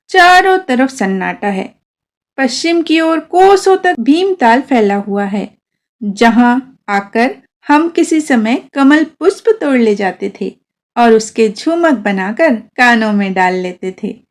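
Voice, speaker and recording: A woman narrating a scripted story in the first person in an expressive storytelling style. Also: fairly high pitch.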